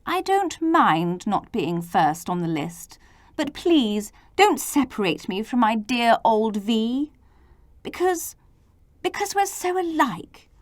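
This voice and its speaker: Gentle, devoted male storybook letter performed by a woman, soft and hesitant with a sad whimper. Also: on the high side, big pitch swings, takes it slowly. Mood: sad, pleading.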